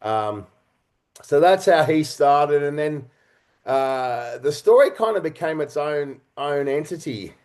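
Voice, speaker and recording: A man's voice: a little high, lively intonation, slow, a little mellow. Thoughtful middle-aged man telling his story. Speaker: interview guest, writer, director and performer. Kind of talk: reflective storytelling in an interview.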